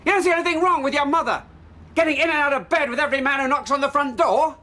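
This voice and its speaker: An actor playing a bitter, self-pitying middle-aged stepfather in a TV soap drama, who swings between shouting and pleading. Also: very high voice, fast. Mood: furious. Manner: flares into shouting, then pleads.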